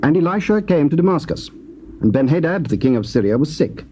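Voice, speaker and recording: A male narrator reads scripture in a measured, solemn way. His voice is warm and mellow, and a faint hiss sits under the tape. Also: on the high side, big pitch swings.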